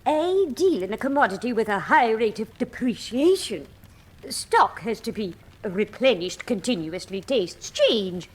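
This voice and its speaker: An actress playing an artful, evasive London underworld madam in a radio drama, guarded and genteel in manner. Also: female voice, fairly high pitch, big pitch swings, unhurried, breathy edge, full character voice.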